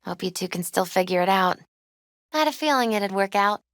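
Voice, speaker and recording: A woman voicing a gentle, mystical young tarot reader in a video game, soft-spoken, measured and earnest, with a foreboding manner. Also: mid-range voice, lively intonation, dry recording, studio quality, lightly acted. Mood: casual, caring. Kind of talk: video game character dialogue.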